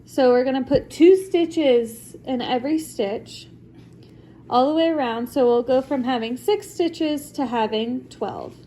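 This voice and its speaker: A woman's voice: sits high, measured pace, mellow, a little hiss or hum. A young woman with a congested voice, chatting her way through a crochet tutorial at home with lots of likes and ums. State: congested from a recent illness. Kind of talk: casual step-by-step craft tutorial. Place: home, at a table.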